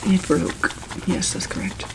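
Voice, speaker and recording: A woman doing a soft-spoken, often whispered ASMR roleplay as a calm, caring medic, with a gentle, reassuring bedside manner. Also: measured pace, background noise. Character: calm, caring female medic at a monster hospital. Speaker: ASMR roleplayer playing a monster hospital medic.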